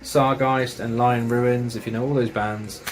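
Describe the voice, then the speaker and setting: A man's voice: mid-range voice, flat delivery, measured pace. A man casually reviewing metal records and tapes, enthusiastic and chatty, with slang and swearing.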